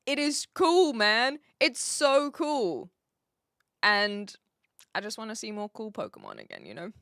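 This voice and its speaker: A woman's voice: on the high side, wildly swooping pitch, a little slow, a bit bright, no echo, studio quality. A young woman from New Zealand with a blended, British-leaning accent, chatting casually on a live stream and answering viewers' messages. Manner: chatty, rambling, laughs often, answers chat directly.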